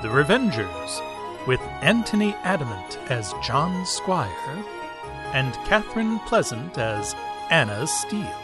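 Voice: mid-range voice, sing-song, slow. An announcer giving a dramatic, vintage-style introduction to a radio drama series. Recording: loud percussive theme music behind.

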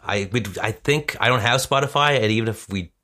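A man's voice: medium pitch, a little fast, sharp tone. A man from Canada chatting casually as a podcast guest. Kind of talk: casual chat.

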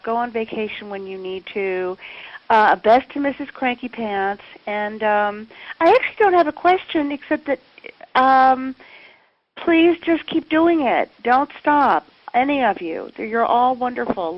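A woman's voice: on the high side, unhurried. A mature woman from the United States leaving a casual, gushing listener message by telephone, with dull sound. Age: middle-aged or older. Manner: gushing, effusive. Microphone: telephone call. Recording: dull, band-limited call recording.

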